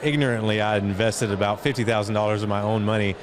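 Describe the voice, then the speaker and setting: A middle-aged man with a fairly low voice talks reflectively as a guest in an interview. He is recorded at an outdoor event, with clear street and vehicle noise around him. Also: steady tone, quick pace. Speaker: guest, entrepreneur and foundation chairman.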